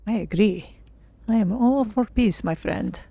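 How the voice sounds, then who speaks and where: A woman voicing a polite, curious female cat-folk adventurer in a game stream, speaking in measured, formal phrases. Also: medium pitch, gravelly, dark tone, breathy edge. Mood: thoughtful. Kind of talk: in-character game playthrough commentary.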